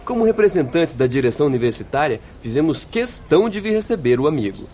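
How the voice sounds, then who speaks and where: A man's voice: a little high, very animated, fast talker, dark tone, slight background noise. A man playing a courteous spirit school inspector in a radio drama, formal and polite.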